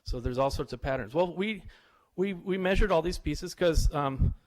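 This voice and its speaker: A man's voice: a little high, moderate pace. A middle-aged man, a visiting professor, tells stories and quizzes a live audience in an informal lecture. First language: English. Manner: chatty, anecdotal, asks the audience questions. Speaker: visiting professor giving a talk.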